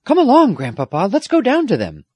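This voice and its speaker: A man voicing an excitable, tender-hearted young girl in an audiobook. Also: sits high, normal speed, husky, no echo, pitched above the performer's own voice, full character voice. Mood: eager. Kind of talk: audiobook character acting.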